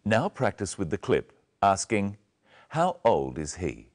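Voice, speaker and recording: Slow, deep-voiced male presenter. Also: huge pitch swings, a little mellow. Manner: instructive, carefully enunciated phrases. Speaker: TV English lesson presenter. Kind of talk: scripted language lesson.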